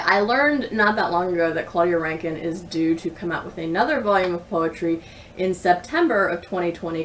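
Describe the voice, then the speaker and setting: A woman in her thirties chatting casually about books as a vlogger, with thoughtful, bookish phrasing. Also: lowish voice. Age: 30s. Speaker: book vlogger. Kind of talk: casual book review chat to camera. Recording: distant mic with clear background noise.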